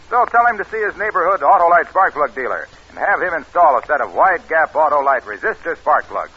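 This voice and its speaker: A man's voice: fairly high pitch, husky. An actor playing an eager, relentless spark plug salesman in a mid-century radio sponsor skit. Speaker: commercial pitchman. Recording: dull, muffled old radio recording.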